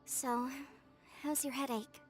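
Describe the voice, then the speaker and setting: An actress playing a protective, caring younger sister in a video game, frank, familiar and a little chiding. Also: female voice, high voice, steady tone, slow. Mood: concerned. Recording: music under the dialogue.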